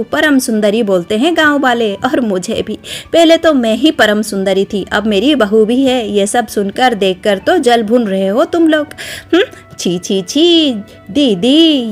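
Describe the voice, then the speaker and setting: A woman voicing a loud, spiteful village aunt who shrilly scolds and jeers at her relatives. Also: a little high.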